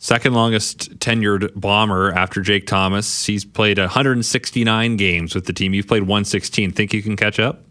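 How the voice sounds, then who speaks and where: A male sports radio host with a fairly low voice, recorded dry and close in a studio. He asks brisk, friendly questions and keeps the conversation moving. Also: steady tone, bright, forceful tone, no echo.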